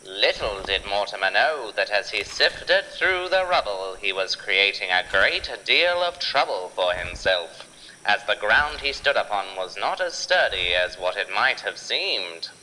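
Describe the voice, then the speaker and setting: A man's voice: fairly low pitch, big pitch swings, a little slow, bright, forceful tone, long reverb tail, slight background noise, low-quality recording. A man narrating an adventure serial in a dramatic, scripted storytelling style. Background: music.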